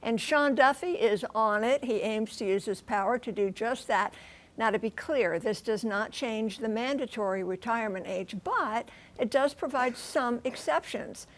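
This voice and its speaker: Female news correspondent, higher-pitched, conversational explainer delivery, punchy emphasis. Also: moderate pace. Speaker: news transportation correspondent and pilot.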